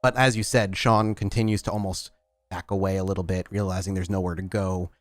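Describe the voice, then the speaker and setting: A man's voice: low voice, little pitch movement, brisk, no room sound. A man narrating a tabletop roleplaying game as storyteller, describing scenes in detail.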